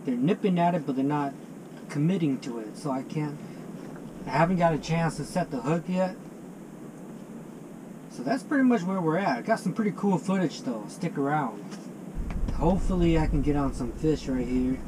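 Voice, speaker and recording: A man's voice: on the high side, animated, normal speed, long pauses, background noise. A man in his thirties chatting casually to camera from a small ice fishing shanty, laid-back and chatty, dropping "dude" and "man.". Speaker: fishing vlogger. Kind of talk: casual vlog talk to camera. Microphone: action camera mounted inside the shanty. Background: background music.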